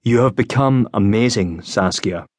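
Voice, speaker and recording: A man narrating an audiobook as a frail, gloomy young wounded officer, speaking with gentle, wistful courtesy. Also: lowish voice, a little slow, no room sound, clean studio sound. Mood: courteous, wry.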